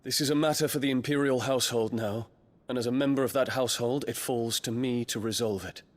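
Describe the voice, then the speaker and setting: A man playing a proud, dutiful young prince in a video game, speaking formally and gravely. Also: medium pitch, lightly acted. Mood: resolute. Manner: formal, grave, measured diction. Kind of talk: dramatic video game cutscene acting.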